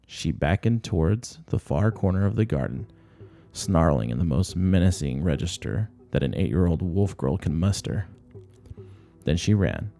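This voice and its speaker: A man's voice: deep voice, vocal fry, dark tone. A man reading a short story aloud as scripted audiobook narration.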